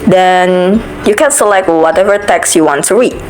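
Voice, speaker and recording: A young woman from Indonesia, narrating a step-by-step app tutorial in a careful, steady way. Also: fairly low pitch, moderate pace, faint music behind, low-quality recording. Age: young adult. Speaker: student giving an app tutorial. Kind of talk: screen-recorded tutorial voice-over.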